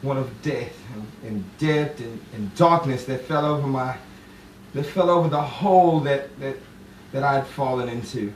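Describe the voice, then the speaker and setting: An actor playing a grief-stricken, brooding man tormented by lost love, with halting, theatrical delivery. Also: medium pitch, lightly acted. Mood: mournful brooding. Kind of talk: 1950s-style romantic drama monologue and dialogue. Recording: roomy, distant, faintly noisy stage sound.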